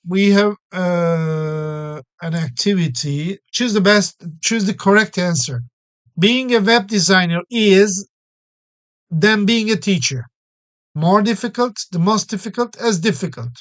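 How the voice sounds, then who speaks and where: Slow, patient male English teacher. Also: sits high, fairly bright tone. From Turkey. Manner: patient explanations with many everyday examples and frequent fillers. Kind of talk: recorded classroom-style English lesson.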